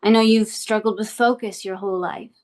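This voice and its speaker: A woman acting as an eerily composed, menacing interrogator in a script table read, speaking formally and deliberately. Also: mid-range voice, fairly even intonation, darkish tone, close to an everyday voice. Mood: controlled menace. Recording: music playing behind the voice.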